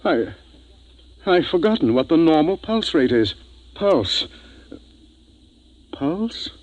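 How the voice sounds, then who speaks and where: Thoughtful space doctor, deliberate and emphatic, very varied intonation, 1950s radio drama recording. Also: male voice, fairly high pitch, sing-song, darkish tone, dull audio. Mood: concerned, bewildered. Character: earnest, thoughtful male ship's doctor and scientist.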